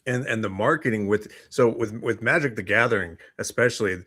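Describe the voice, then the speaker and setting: A man's voice: lowish voice, fairly quick, a bit bright, breathy edge, no room sound. A man co-hosting a chat show in a casual, conversational way. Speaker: co-host of a morning chat show.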